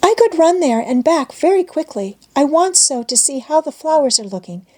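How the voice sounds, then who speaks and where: A woman's voice: sits high, sing-song, moderate pace, dark tone, voice pushed up. A woman reading a storybook as an eager, trusting young mountain girl, earnest and pleading. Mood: hesitant, eager.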